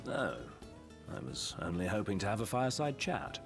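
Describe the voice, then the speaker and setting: A man's voice: lowish voice, expressive, normal speed, music in the background. A man voicing a refined, wry young royal retainer in a video game, articulate and courtly with a British accent. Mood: gently wry. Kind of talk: video game cutscene voice acting.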